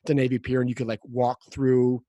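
A man hosting a podcast, chatting casually in a halting, informal way. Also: mid-range voice, flat delivery, mellow, no room sound. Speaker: podcast host. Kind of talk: casual chat.

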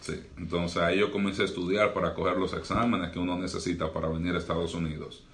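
Deep-voiced young man. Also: little pitch movement, fast talker, fairly bright tone. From Dominican Republic. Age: young adult. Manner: earnest, reflective, uses fillers like eh. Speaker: guest, doctor and pastor. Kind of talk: podcast interview storytelling.

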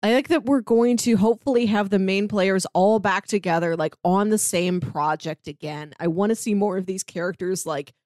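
A woman's voice: medium pitch, normal speed, hardly any pauses, darkish tone, no room sound, clean studio sound. A woman co-hosting a casual podcast, chatting eagerly about a TV show and laughing often. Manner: enthusiastic, gushing with laughs. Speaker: podcast co-host. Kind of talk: casual chat.

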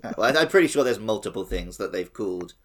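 A man's voice: on the low side, expressive, fast, a little hiss or hum. A man co-hosting a casual gaming podcast, explaining his opinions conversationally. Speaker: podcast co-host.

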